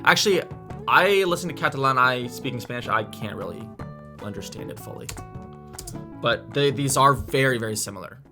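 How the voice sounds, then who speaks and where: A man chats through a reaction video, thinking aloud and correcting himself mid-sentence. His pitch sits in the middle range, and he is recorded close to the mic. Clear guitar music plays underneath. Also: animated, brisk. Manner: thinking aloud, restarts and hedges. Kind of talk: casual reaction commentary.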